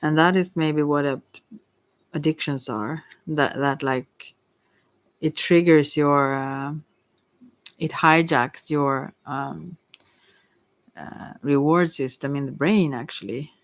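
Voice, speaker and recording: A tarot reader giving an informal ASMR reading in a hesitant, rambling way with many pauses. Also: mid-range voice, dry recording. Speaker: Ayurveda therapist.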